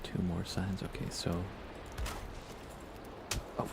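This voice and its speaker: Soft-spoken male ASMR game streamer, deep and crackly, murmuring unhurriedly close to the mic over audible background noise. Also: completely flat delivery, vocal fry. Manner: gentle, unhurried, thinking aloud. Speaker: live streamer, host.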